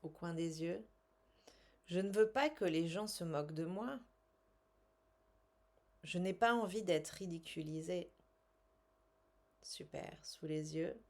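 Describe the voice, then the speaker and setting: A woman coaching a guided tapping exercise in short, measured, instructional phrases with pauses and repeated statements. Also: fairly low pitch. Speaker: tapping coach and language teacher.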